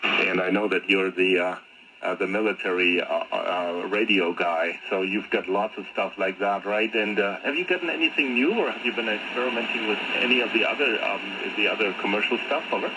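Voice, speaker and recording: A man's voice: on the low side, brisk, sharp tone. A man chatting conversationally as an amateur radio operator, heard over a shortwave radio transmission. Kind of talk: casual ham radio exchange. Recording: noisy HF radio reception. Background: radio static noise.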